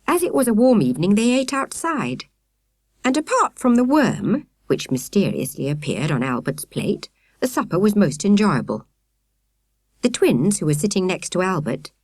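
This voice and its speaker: Expressive woman reading, animated pitch. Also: normal speed, no echo, clean studio sound. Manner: expressive, carefully phrased storytelling. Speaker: audiobook narrator. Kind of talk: scripted children's story narration.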